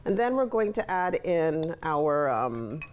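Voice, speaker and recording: A woman's voice: sits low, very animated, slow. A woman giving a casual, chatty cooking demonstration as a guest cook, explaining each step as she goes. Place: TV studio kitchen. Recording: clear sizzling and stirring noise behind. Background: sizzling pan, stirring, clicks.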